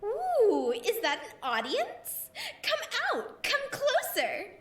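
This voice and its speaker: An actress playing a bubbly, bossy, theatrical ghost dance director in an audio drama. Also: female voice, extremely high pitch, wildly swooping pitch, slow, roomy sound, distant mic, heavily acted. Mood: bubbly, excited. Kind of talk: scripted audio drama acting.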